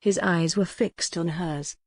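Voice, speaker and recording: Low-pitched woman narrating historical romance. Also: takes it slowly, a little mellow, no room sound, clean studio sound. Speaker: audiobook narrator.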